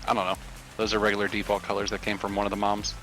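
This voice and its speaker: A man's voice: on the low side, completely flat delivery, very fast, pushed and bright, faint music behind. A man streaming a video game, chatting casually as he plays. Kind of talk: casual gaming chat.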